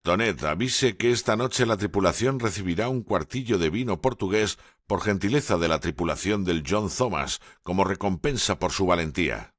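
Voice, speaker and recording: Guy reading a calm navy lieutenant, middle-aged, super deep voice, steady and grave. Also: normal speed, hardly any pauses, no room sound, clean studio sound. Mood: commanding. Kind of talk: audiobook character dialogue.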